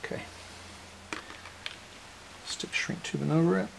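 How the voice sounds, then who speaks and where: Man, gravelly fry, mid-pitched, informal step-by-step repair walkthrough, faint background noise. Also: fairly even intonation, measured pace, low-quality recording. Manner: informal, methodical step-by-step explaining. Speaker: host of a radio restoration series. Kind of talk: hands-on repair demonstration.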